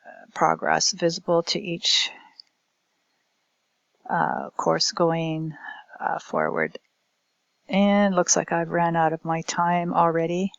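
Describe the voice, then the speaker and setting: Lady teacher doing a recorded talk, kinda hesitant, bit of vocal fry, dull lo-fi audio. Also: lowish voice, no room sound. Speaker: language instructor giving a presentation. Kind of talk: recorded academic presentation.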